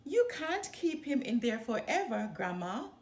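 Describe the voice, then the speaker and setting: An eager, cheerful young island girl voiced by a woman reading a storybook. Her lines are high and animated, spoken without hurry. The room is echoey. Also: measured pace, slight background noise. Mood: protesting.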